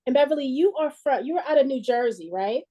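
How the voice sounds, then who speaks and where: Host, woman, forties, mellow and chatty, speaks straight to viewers over a video call. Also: high voice, lively intonation, fast talker. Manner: chatty, addresses viewers directly. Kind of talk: livestream pitch hosting. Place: small indoor room.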